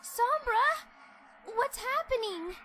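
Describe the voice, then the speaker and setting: Very high, eager little pony filly. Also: female voice, moderate pace, slight background noise. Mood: panicked. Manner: excitable, earnest. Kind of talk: cartoon audio drama acting.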